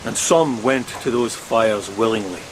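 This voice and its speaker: A man plays an educated, respectful first mate in a gothic radio play. His voice is on the low side, measured and earnest. Steady rain is clearly heard behind it. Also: natural voice. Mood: grave explaining. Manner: measured, explanatory, deferential. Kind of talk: gothic horror audio drama acting. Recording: loud steady rain noise.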